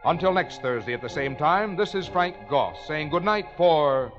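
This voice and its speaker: A man with a fairly high voice reads formal announcements in a 1950s radio style. He enunciates deliberately and precisely. The recording is dull and muffled, with faint music behind him. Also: lively intonation.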